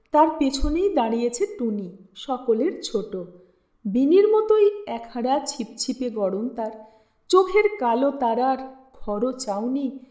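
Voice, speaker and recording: A woman narrates literary fiction in a high-pitched voice. She takes her time, shaping each phrase expressively. The recording has a clear roomy echo around her. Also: warm tone. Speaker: storyteller reading literature aloud. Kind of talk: dramatic audiobook-style story narration.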